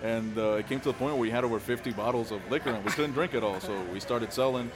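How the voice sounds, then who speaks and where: A man's voice: medium pitch, fast talker, music in the background. A man from Rico, telling stories casually as an interview guest in a hesitant, jokey way. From Puerto Rico. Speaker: guest, brewer. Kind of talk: casual storytelling in a podcast interview.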